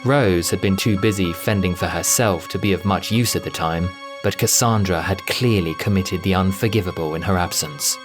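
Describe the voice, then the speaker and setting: A man's voice: low-pitched, normal speed, hardly any pauses, over music. A man giving scripted, dramatic narration of a science fiction audio adventure.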